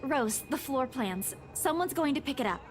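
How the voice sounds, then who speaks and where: A woman voicing a frightened, shaken young woman in a horror story, pleading and halting. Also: high voice, expressive, soft background music. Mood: shaken insisting. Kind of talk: dramatic horror story dialogue.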